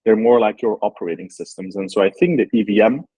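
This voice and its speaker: A man from Belgium with a low, husky voice, explaining his work in an interview. He talks thoughtfully, with frequent ums and likes as he searches for words. The sound is dry and close. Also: fast, dark tone, no echo. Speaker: startup founder. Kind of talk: interview answers.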